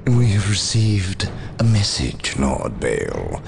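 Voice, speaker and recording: A man playing a sinister, contemptuous dark warlord in a video game, gravelly, menacing and disdainful. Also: low voice, wildly swooping pitch, takes it slowly, background noise, heavily acted. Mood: cold contempt. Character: sinister chaos lord and sly sorcerer, contemptuous villains.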